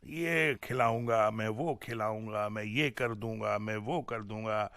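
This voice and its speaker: A man's voice: fairly low pitch, unhurried, a bit bright, dry recording, clean studio sound. A man voicing a boastful, naive husband from a folk tale, bragging with great self-assurance. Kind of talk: folk tale storybook reading.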